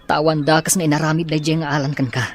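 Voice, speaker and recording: An actor with a Filipino accent playing a doubting, protective young boyfriend in a radio drama. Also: male voice, on the high side, little pitch movement, quick pace, music in the background, natural voice. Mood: doubtful.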